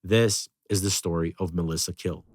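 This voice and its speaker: A man hosting a podcast in a studio, reading an intro and then chatting casually, energetic and full of "you know"s. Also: deep voice, moderate pace, no echo. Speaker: podcast host.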